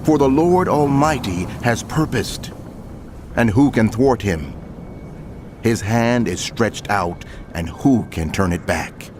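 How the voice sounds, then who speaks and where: A low, solemn scripture narrator. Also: male voice, animated, slow, noisy background. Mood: solemn declaiming. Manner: solemn, declamatory. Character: prophet narrator, solemn and declamatory. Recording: music under the voice.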